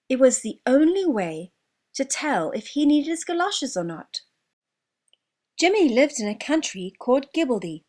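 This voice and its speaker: High-pitched, animated woman storyteller. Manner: expressive, deliberate storybook delivery with drawn-out words. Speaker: author narrating own story. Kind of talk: children's storytelling, scripted narration.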